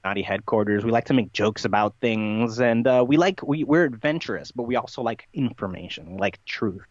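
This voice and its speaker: A man's voice: medium pitch, brisk, no room sound, dull audio. A man talking as a podcast guest in a conversational, rambling way, making absurd claims matter-of-factly. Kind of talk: conversational interview talk, playful tongue-in-cheek persona.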